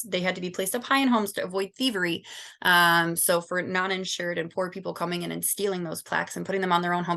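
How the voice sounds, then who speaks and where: A woman's voice: medium pitch, fairly bright tone. A young woman from Southern California giving a class presentation, informal and full of ums and likes. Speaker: student presenter.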